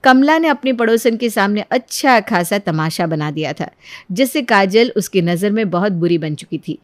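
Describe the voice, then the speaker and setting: A woman's voice: mid-range voice, lively intonation, normal speed, no room sound. A woman narrating a scripted moral story as a storyteller.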